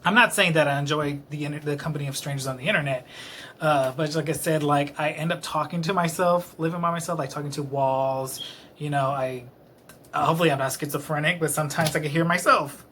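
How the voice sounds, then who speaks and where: A man's voice: a little high, steady tone, fairly quick, pushed and bright, slight background noise. A man in his late twenties from Texas, rambling to camera in his apartment, self-deprecating and a little tipsy, with lots of fillers. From Dallas, Texas. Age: late 20s. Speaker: vlogger. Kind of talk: rambling casual monologue to camera. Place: his own apartment, indoors. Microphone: camera close-up.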